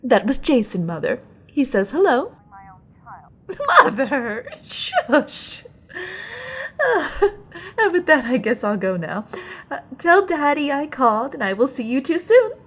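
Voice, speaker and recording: A woman's voice: sits high, big pitch swings, slow, long pauses, slightly rough, heavily acted. A woman playing a bubbly, nagging wife. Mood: chatty. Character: chatty, fussy wife on an ocean liner. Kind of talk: amateur radio play acting.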